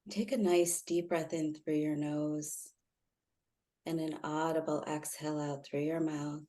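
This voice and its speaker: A woman guiding a meditation in a soothing, gently instructive way, leaving long pauses. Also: low voice, flat delivery. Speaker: meditation guide, intuitive healer. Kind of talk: guided meditation.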